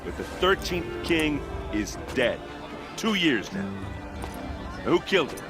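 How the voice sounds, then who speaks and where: An actor playing a blunt, earnest envoy, speaking plainly and emphatically to persuade a crowd in a fantasy game. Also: male voice, on the high side, sing-song, unhurried. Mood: earnest, pleading. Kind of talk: impassioned speech in a fantasy game. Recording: game music behind the voice.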